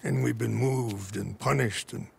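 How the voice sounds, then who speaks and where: Grave old chief, very gravelly. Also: male voice, mid-range voice, darkish tone, heavily acted. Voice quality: gravelly, measured.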